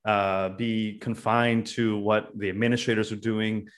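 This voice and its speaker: Young california guy rambling through his story, low-ish voice, lots of uhs. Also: level tone, unhurried. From California, USA. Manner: loose, thinks aloud with many "uh"s. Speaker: podcast guest. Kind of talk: casual interview storytelling.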